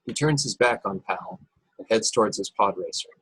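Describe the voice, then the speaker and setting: A man's voice: lowish voice, big pitch swings, moderate pace, vocal fry, fairly bright tone, husky, no echo, poor audio. A man narrating stage directions from a script at a table read over a video call. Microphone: video call, close and dry.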